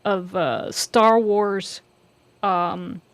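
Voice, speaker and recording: A woman's voice: mid-range voice, steady tone, extremely slow, no echo. A woman co-hosting a livestream in casual, conversational chat.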